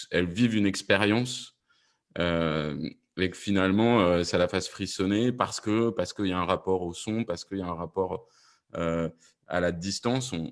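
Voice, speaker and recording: A man speaking as a podcast producer on a discussion panel, explaining things and often rephrasing as he goes. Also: sits low.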